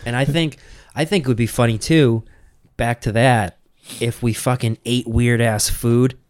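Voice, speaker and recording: Young dude cohosting a podcast, swears a lot, dry sound. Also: male voice, medium pitch, fairly even intonation, measured pace, a little mellow, no echo. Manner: loose, profane, laughing. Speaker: podcast co-host. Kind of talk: casual chat. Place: podcast room.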